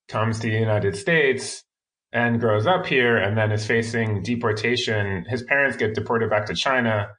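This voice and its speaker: A man being interviewed as a filmmaker, speaking in a thoughtful, halting way with frequent restarts. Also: lowish voice, fairly bright tone, low-quality recording. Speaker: filmmaker guest. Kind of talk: interview conversation.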